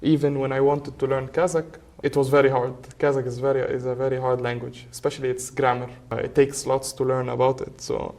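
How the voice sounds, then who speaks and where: A man's voice: mid-range voice, level tone, brisk, hardly any pauses, a little mellow. A young man whose first language is Arabic, talking casually as a podcast guest and hesitating often with fillers. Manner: hesitant, many filler uhs. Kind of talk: casual conversation. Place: podcast studio.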